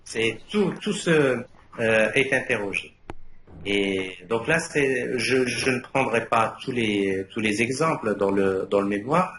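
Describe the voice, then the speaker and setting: An older man whose first language is Arabic gives careful academic feedback in a roomy, echoing space with faint noise behind him. He hesitates often, restarting his phrases and filling pauses. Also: fairly low pitch, a bit bright. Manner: hesitant, many fillers and restarts. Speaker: thesis jury member. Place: university defense room. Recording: echoey room, faint background noise.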